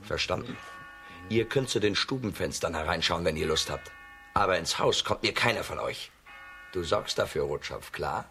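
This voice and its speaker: A commanding, stubborn village bully of a brother, lowish and gravelly with a hard, forceful edge. He blusters and threatens in with big swings of pitch, as children's radio drama. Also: expressive, normal speed, soft background music, full character voice. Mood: gruff, commanding. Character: gruff, bossy peasant brother, threatening and stubborn. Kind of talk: German children's radio play acting.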